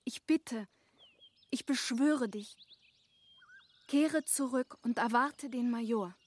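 A woman's voice: a little high, takes it slowly. An actress playing a devoted, self-denying young woman in a German radio play, earnest and pleading in formal literary speech. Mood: urgent pleading.

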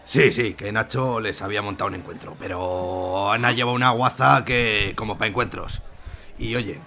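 Gruff smitten brawler male actor, radio soap. Creaky, sharp voice, coarse colloquial talk, faint noise behind. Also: on the low side, normal speed. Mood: awkward, smitten. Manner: colloquial, cursing, stammering when flustered. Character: gruff man smitten with a woman, flaring into brawling fury. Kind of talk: amateur radio soap acting.

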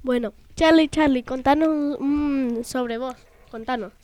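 A woman playing a frightened, excitable young girl in improvised radio theatre, in a noisy room recording. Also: high-pitched, measured pace. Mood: coaxing.

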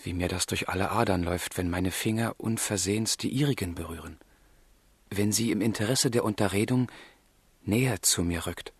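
Passionate, husky young romantic. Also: male voice, lowish voice, moderate pace, no room sound. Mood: rapturous, tender. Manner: fervent, theatrical, confiding. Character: passionate, lovesick young gentleman, sensitive and volatile. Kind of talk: German literary radio drama acting.

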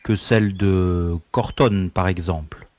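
Seasoned male pilot, dry and mocking, slightly low voice, read by a male narrator for an audiobook. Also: fairly even intonation, takes it slowly, a little mellow, no echo. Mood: teasing. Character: wry, teasing male starship pilot, seasoned.

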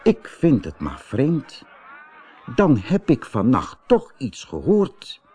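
A man's voice: medium pitch, huge pitch swings, takes it slowly, dark tone, soft background music. A man voicing a gallant, concerned fairy-tale hero who speaks earnestly and resolutely. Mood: worried, resolute.

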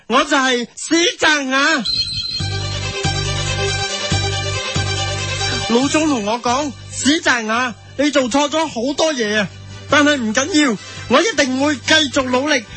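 Male actor as overzealous young TV reporter, Hong Kong Cantonese accent, high male voice, self-important, thick-skinned, radio drama, music behind. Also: pushed and bright. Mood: eager, self-important. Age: young adult. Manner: pompous, earnest, pestering. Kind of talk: comic radio drama, mock news reporting.

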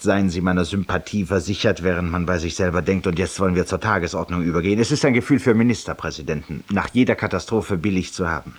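An actor playing a self-satisfied middle-aged raconteur in a radio drama monologue, speaking with eloquent, ironic urbanity in a husky voice. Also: male voice, low-pitched. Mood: confiding, ironic. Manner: eloquent, urbane, ironic, addressing a silent listener.

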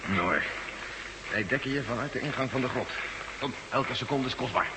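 A man's voice: mid-range voice, moderate pace, close to an everyday voice. A man acting as a sober, dutiful, resigned older general in a Dutch radio drama, on a noisy old recording. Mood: commanding, concerned.

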